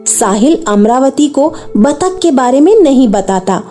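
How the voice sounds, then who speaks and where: A woman's voice: high voice, expressive, measured pace, dark tone, faint music behind. A woman narrating a scripted children's cartoon story.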